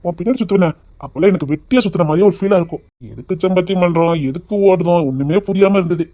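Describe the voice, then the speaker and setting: A woman's voice: fairly low pitch, fast, lightly acted. A woman playing a teasing young lover with a lilt. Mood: tender. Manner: teasing, then softly tender. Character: young man courting his sweetheart, teasing. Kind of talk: amateur romantic drama.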